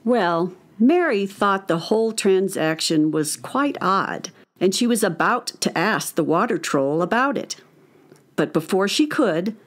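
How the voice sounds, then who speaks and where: A woman narrating a scripted story for children. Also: medium pitch.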